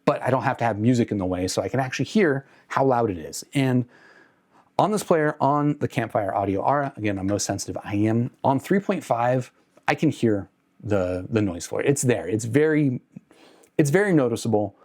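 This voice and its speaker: A man's voice: medium pitch, expressive, moderate pace, a little mellow. A man speaking casually and off the cuff as a review host, hedging and correcting himself as he goes. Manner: conversational, hedging, self-correcting. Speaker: host of an audio gear review channel. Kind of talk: casual unscripted product review to camera.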